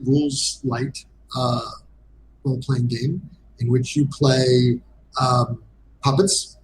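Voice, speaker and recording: A man giving a casual interview as a game designer guest, speaking hesitantly with frequent pauses. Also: mid-range voice, background noise.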